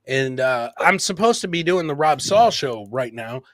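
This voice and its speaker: A man's voice: medium pitch, expressive, normal speed, fairly bright tone, no echo. A man from Michigan with an American accent, hosting a casual live podcast chat in a blunt, brash way and swearing freely. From Michigan, United States. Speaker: host of a live comedy podcast.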